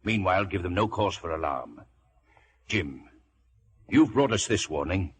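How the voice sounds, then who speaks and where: An actor playing a steady, authoritative sea captain giving orders and counsel in a radio drama. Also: male voice, deep voice, measured pace. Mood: grave, measured. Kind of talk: vintage radio drama acting.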